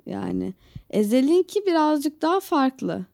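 A woman's voice: high-pitched, sing-song, measured pace, creaky, a little mellow, no echo, clean studio sound. A woman co-hosting a podcast, casually talking through her opinions in conversation. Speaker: podcast co-host. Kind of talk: casual chat, opinionated discussion.

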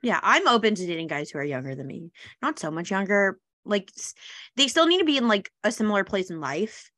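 A woman's voice: medium pitch, very animated, fairly bright tone, no room sound. Bubbly woman podcast host, dry sound. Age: 20s. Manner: bubbly, quick laughs, many fillers. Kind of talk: casual chat.

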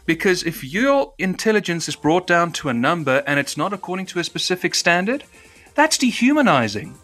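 A man's voice: high voice, very animated, fairly quick, a bit bright, faint music behind. A South African-born man with a British-trained accent, hosting a podcast chat with formal, careful diction and long winding sentences. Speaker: podcast host.

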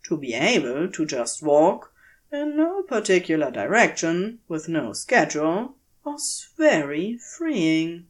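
A woman narrates fiction like an audiobook reader. Her voice sits a little low and she takes her time, measured and deliberate. The melody of her speech moves widely, giving the prose an expressive storytelling shape.

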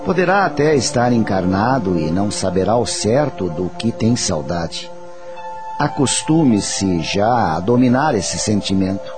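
A man playing a wise, patient spirit teacher, speaking in a measured, reassuring and didactic way in a radio drama. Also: fairly low pitch. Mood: gentle teaching. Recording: faint music under the voice.

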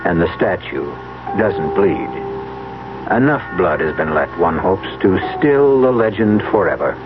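A man hosting and narrating a radio mystery with scripted, measured, wry and portentous delivery. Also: deep voice, animated. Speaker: radio drama host and narrator. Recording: dull radio recording with music.

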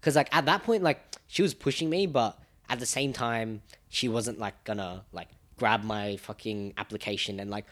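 A man's voice: on the low side, moderate pace, a bit bright. A young man with an Australian accent in casual podcast chat, swearing freely and acting out quotes as he retells things. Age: young adult. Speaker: podcast co-host.

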